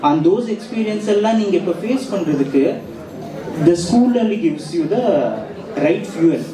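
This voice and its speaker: Quick-talking young South Indian man, echoey hall. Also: sits high, expressive, a crowd in the background. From Andhra Pradesh, India. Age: 20s. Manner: rambling, switching between English and Tamil. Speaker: school alumnus speaker. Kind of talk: informal speech to an audience. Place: large school hall. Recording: echoey hall, distant mic, audience noise.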